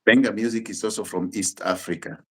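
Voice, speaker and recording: A middle-aged Kenyan man, a Luo speaker, talks as a guest on a casual show. His voice sits a little low. He speaks in plain, unhurried sentences and often pauses or restarts a phrase. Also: steady tone, a bit bright. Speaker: musician and pastor guest. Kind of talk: casual interview chat.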